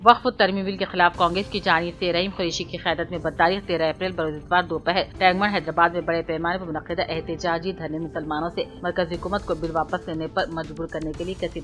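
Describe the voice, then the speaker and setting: A woman's voice: lowish voice, a bit bright. A woman news reader delivering a scripted bulletin in a formal manner, reading item after item. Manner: formal, reading out items one after another. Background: background music.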